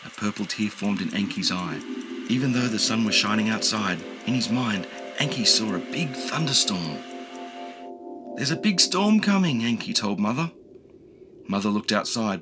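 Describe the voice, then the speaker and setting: A man narrating a children's audiobook in an expressive storytelling manner. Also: on the low side. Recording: clear noise with cricket ambience.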